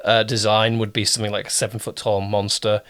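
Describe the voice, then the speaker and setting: A man's voice: lowish voice, completely flat delivery, brisk, fairly bright tone. Chatty, rambling man interviewing casually. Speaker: interviewer and drama producer.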